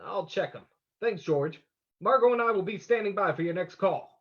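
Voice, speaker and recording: An actor playing a calm, decisive detective hero in an old-time radio drama, brisk and authoritative, on a dull recording. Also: male voice, sits high, full character voice. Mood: brisk, alert.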